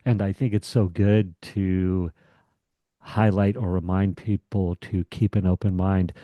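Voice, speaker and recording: A man with a lowish, warm voice hosts a talk show and draws his guest out with encouraging questions. His voice sounds dry and close, with no room around it. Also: flat delivery, measured pace, dry recording. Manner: encouraging, asks open questions. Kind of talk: conversational interview.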